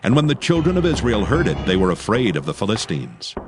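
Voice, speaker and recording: A man narrating scripture from a script in a solemn, measured, formal manner. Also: lowish voice, sing-song. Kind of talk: scripted dramatized Bible narration. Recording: faint music behind the voice. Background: faint background music.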